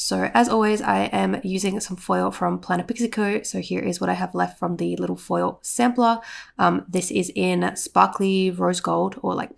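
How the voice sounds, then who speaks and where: A woman's voice: medium pitch, creaky. A young adult woman, sounding congested and sniffly, gives a chatty, rambling voiceover for her planner vlog. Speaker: planner vlogger. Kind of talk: casual voiceover narration.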